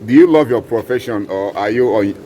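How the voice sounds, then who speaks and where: A man with a fairly low, mellow voice asks friendly questions and often echoes back what he hears. Faint workshop noise sits behind him. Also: brisk. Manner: encouraging, echoes answers back. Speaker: interviewer. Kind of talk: casual interview questions.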